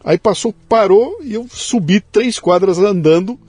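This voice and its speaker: Husky-voiced Brazilian podcast host. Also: male voice, high voice, a little slow, dry recording. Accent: São Paulo interior Brazilian Portuguese. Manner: animated storyteller, emphatic asides. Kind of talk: conversational interview.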